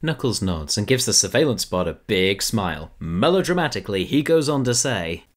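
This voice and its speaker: Very deep-voiced woman narrator. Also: big pitch swings, moderate pace. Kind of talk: scripted narration.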